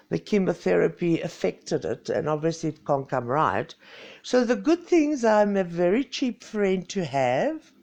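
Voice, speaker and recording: A woman's voice: on the low side, dark tone. A woman in her sixties with a Greek South African accent, chatting playfully and mocking herself on a home live stream. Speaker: vlogger. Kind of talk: casual live-stream chat.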